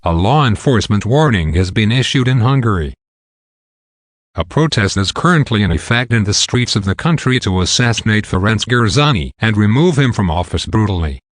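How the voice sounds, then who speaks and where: A man's voice: fairly low pitch, animated, moderate pace, no room sound, clean studio sound. A man playing a stern, formal announcer reading a scripted emergency broadcast warning.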